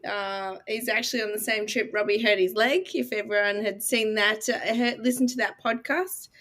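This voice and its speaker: A woman's voice: mid-range voice, steady tone, moderate pace, fairly bright tone. A woman co-hosting a live online stream in casual, conversational chat. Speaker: co-host of a property live Q&A.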